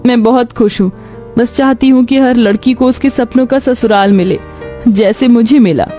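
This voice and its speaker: Woman playing gentle poor young bride, grateful, reverent, dark soft tone, cartoon story, background music. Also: a little high, normal speed, pitched above the performer's own voice, close to an everyday voice. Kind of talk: Hindi cartoon story dialogue.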